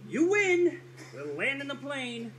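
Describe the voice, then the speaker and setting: A man playing an exasperated, defensive rogue in a live comic radio play, with a rough-edged voice. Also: very high-pitched, slow, very noisy, full character voice. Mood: panicked. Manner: pleading, defensive, excitable. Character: Calvin, exasperated roguish brother accused of stealing. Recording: live theatre stage recording.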